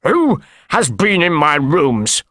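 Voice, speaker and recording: A man's voice: medium pitch, big pitch swings, a little slow, fairly bright tone, dry recording, studio quality. Guy reading as a scared fat man in an audiobook, agitated and pleading, voice jumping around. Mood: frantic. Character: very fat, frightened, evasive man. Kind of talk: audiobook character voice.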